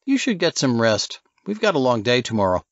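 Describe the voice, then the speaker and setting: A man's voice: mid-range voice, sing-song, a little fast, no echo. A laid-back senior male agent from an audiobook thriller, played by a male narrator. He is laconic and dismissive, with varied intonation and a dry, slightly dull recording. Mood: dryly amused.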